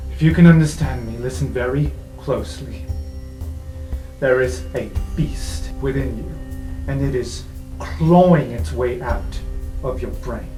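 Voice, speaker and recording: A man voicing a grave, paranoid university dean in a tabletop game, speaking formally and deliberately. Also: medium pitch, dark tone, echoey room, faint music behind, close to an everyday voice, rough recording. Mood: urgent.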